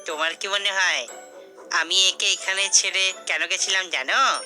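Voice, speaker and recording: A woman's voice: on the low side, unhurried, bright, forceful tone, faint music behind. A woman voicing a brave, cunning mother goat in a cartoon fairy tale, speaking in a bold, challenging way. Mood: bold bluffing.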